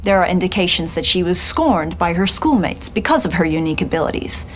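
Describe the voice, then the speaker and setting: A woman playing a polished television reporter in a video game, delivering a grave special report in a measured, articulate way. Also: on the low side, expressive, highs cut off, a little hiss or hum, lightly acted. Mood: intrigued, dramatic.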